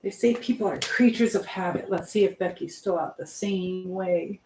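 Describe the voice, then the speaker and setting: A woman's voice: mid-range voice, moderate pace, background noise. Rambling middle-aged woman chatting casually. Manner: rambling, hopping between chat and task; chatting while baking. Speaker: live stream host. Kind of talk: casual live chat, reading out viewer comments.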